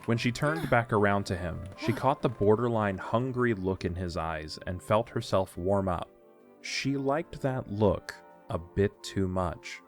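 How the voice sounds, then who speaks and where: A man's voice: fairly low pitch, animated, measured pace, soft background music. A man narrating a scripted story for an audio drama.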